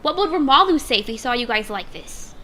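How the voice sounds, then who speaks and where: Woman voicing a bright, snippy creature henchwoman. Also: on the high side, lively intonation, fairly quick, slight background noise. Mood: scornful. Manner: snippy, scolding. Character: scornful, bossy female creature, loyal henchwoman. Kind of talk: audio drama acting.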